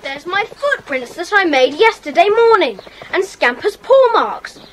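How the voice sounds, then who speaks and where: A very high, animated young boy in a children's mystery play. He sounds eager and earnest, with faint noise behind the voice. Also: measured pace, full character voice. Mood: curious, eager. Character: bold, inquisitive young boy detective. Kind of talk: children's audio drama acting.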